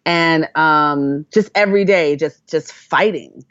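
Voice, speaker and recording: A woman's voice: deep voice, takes it slowly, a bit bright, no room sound, dull audio. A woman from Jamaica, a guest in an interview, talking earnestly and thoughtfully with many fillers and restarts. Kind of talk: reflective conversational storytelling.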